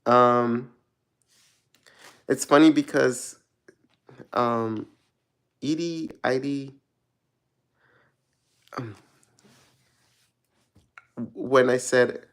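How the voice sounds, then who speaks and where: Male tarot reader from Mexico, mid-pitched and gravelly, loose and rambling delivery. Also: extremely slow, long pauses. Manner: rambling, searching for words, many restarts; relaxed, laughs at himself. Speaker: tarot reader answering viewer questions. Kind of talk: casual live-chat tarot reading.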